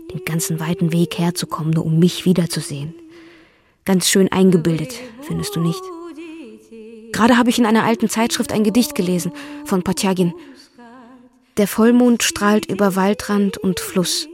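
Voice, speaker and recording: A woman's voice: mid-range voice, expressive, moderate pace, long pauses, darkish tone, close to an everyday voice. Wistful young woman in love, played by an actress. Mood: melancholy longing. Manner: intimate, dreamy, with musing questions. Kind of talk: German radio drama, letters read in character.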